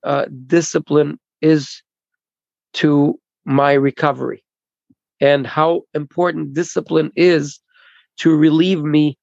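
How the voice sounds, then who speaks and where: A man with a somewhat high, gravelly voice interprets for a speaker, pausing and restarting his phrases. The sound is dry and dull, like lo-fi video call audio. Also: flat delivery, darkish tone, no room sound. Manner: halting, repeats and restarts phrases. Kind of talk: consecutive interpreting at a recovery meeting.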